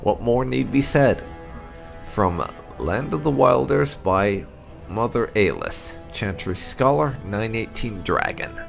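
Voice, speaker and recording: Man reading lore with lively, swooping pitch. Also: slow, creaky. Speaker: gaming channel host. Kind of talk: reading game lore aloud as narration. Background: background music.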